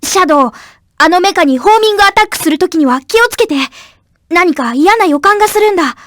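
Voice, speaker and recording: A woman's voice: very high voice, lively intonation, racing, fairly bright tone, no room sound, clean studio sound. A woman voicing an earnest, worried young boy fox sidekick in a video game. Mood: worried, cautioning. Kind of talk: Japanese game voice acting.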